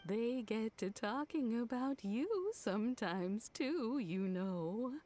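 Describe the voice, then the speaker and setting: Slow-spoken, gracious old woman. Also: a little high, expressive, faint music behind. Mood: fond. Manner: polite, affectionate, gently effusive. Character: kindly old female household servant, warm and gracious. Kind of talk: video game voice acting.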